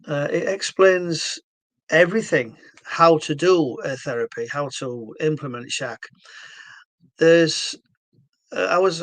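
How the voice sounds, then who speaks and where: A man's voice: a little high, a bit bright, dry recording. A man from England with a Yorkshire accent, talking earnestly to camera about his stroke therapy method, with lots of fillers and restarts. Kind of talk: casual talk to camera.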